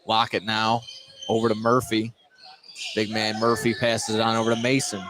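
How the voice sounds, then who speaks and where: A man doing live radio play-by-play of a basketball game, in an excitable way that rises for big plays. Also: on the low side, moderate pace, music in the background. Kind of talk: live radio sports commentary. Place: indoor high school gymnasium, courtside. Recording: noisy live gym sound. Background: loud gym ambience.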